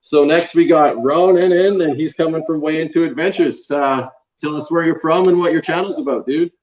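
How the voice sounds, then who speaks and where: A man's voice: fairly high pitch, moderate pace, dull audio. A man hosting a casual live chat with guests over a video call, talking in an informal, encouraging way and calling people dude. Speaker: livestream host. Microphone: internet video call.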